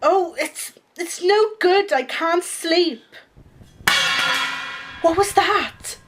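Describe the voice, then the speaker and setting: A woman's voice: very high voice, very slow, exaggerated voice. An actress playing an anxious, suspicious young woman, proper and earnest, in an old-style radio play. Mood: alarmed, urgent. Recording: noisy background hiss.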